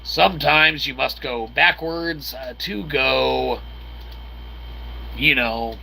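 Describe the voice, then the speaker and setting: A man with a gravelly, creaky voice gives casual video game commentary, mixing wordy mock-formal phrasing with slang and speaking as "we". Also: medium pitch, slow pace, a crowd in the background. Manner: refers to himself as "we". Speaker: gaming channel host. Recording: clearly noisy background.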